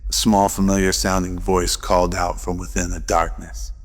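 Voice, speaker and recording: A man's voice: deep voice, level tone, normal speed. A man narrating a scripted radio drama. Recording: loud music behind narration.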